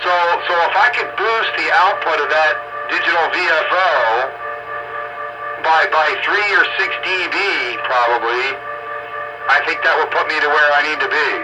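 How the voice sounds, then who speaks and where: A man's voice: on the high side, normal speed, heavy background noise. A man in a casual technical radio chat, rambling and thinking aloud as he repeats himself over a radio transmission. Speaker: amateur radio operator.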